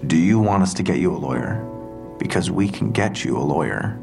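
Police detective, bass voice, creaky, calm and blunt, radio drama, music behind. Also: male voice. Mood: quiet, measured. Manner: measured, deliberate, grave. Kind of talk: dramatic interrogation dialogue. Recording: music under the voice.

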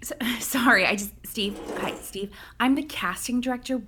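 A woman's voice: a little high, moderate pace, sharp tone, slight background noise. An actress playing a nervous, self-deprecating woman casting director who giggles and stumbles over her words. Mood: flustered. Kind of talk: comedic audio drama.

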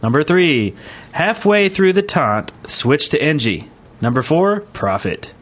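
A man's voice: on the high side, very animated, slow, a bit bright. A man co-hosting a gaming podcast in a casual, easygoing way, reading listener emails and reacting to them. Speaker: podcast co-host.

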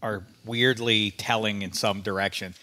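American man, sports show panelist with a bright, forceful voice, animated and rambling banter. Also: lowish voice, measured pace. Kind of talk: casual sports betting banter.

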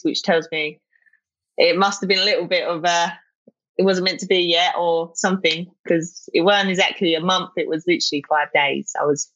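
A woman's voice: lowish voice, fairly bright tone, dry recording, highs cut off. A young woman with a South East London accent, chatting casually as an interview guest, full of fillers and restarts. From England.

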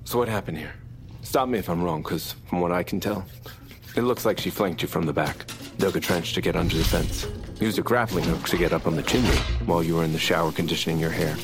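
Young amateur bounty hunter, low voice, flippant, rambling, easily distracted, comedy audio drama, music underneath. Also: male voice, fast talker. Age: young adult.

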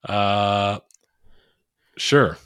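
Dry-sounding man with a flat monotone. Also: lowish voice, drawn-out words, clear, resonant tone, no echo. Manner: rambling, self-mocking, crude jokes. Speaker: podcast co-host. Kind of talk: casual podcast chat.

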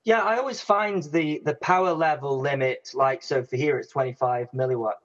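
Man, husky voice, conversational, muffled lo-fi sound. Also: medium pitch, moderate pace, fairly bright tone. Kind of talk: casual chat.

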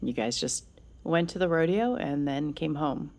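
A woman acting an anxious, protective older sister in a fiction podcast, earnest and halting when emotional. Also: sits low, expressive, darkish tone. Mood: grave, confessional.

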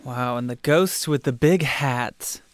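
A man's voice: medium pitch, slow, no echo. A man hosting a podcast, chatting casually. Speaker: podcast host. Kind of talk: casual chat.

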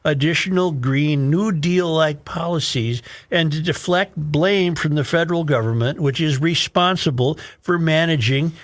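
A man's voice: on the high side, normal speed, hardly any pauses. An American man hosting a talk show, reading an article aloud and adding emphatic, opinionated commentary with repeated phrases.

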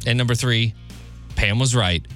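A man's voice: medium pitch, moderate pace, fairly bright tone. Male radio host running a quiz over music. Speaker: radio show host, quizmaster. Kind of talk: upbeat radio quiz banter, reading trivia questions. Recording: music bed behind the voice. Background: background music.

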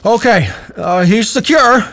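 High-voiced, nervous male henchman. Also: unhurried, a bit bright. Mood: unsure, deferential. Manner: hesitant, stammering, deferential. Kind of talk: audio drama acting.

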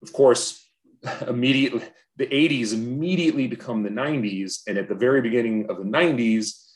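A man's voice: mid-range voice, brisk. A man in his forties from Southern California, a podcast guest talking reflectively and earnestly, often restarting his sentences. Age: 40s. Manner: reflective, earnest, frequent restarts and repeated words. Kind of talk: casual interview conversation.

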